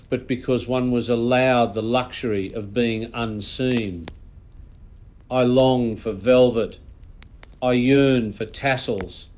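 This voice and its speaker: A man's voice: medium pitch, a little mellow, natural voice. A man playing a world-weary old lord, delivering a florid, disdainful comic monologue in a pompous, theatrical manner. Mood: mournful, grand. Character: pompous, world-weary old aristocrat lamenting lost elegance. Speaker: host.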